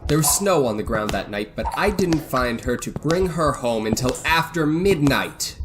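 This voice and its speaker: An actor playing a bitter, vengeful financier in a radio thriller, cold and deliberate with surges of excitement. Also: male voice, mid-range voice, background noise, lightly acted. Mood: bitter, brooding. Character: bitter vengeful self-made financier holding his captives. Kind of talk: old-time radio thriller acting.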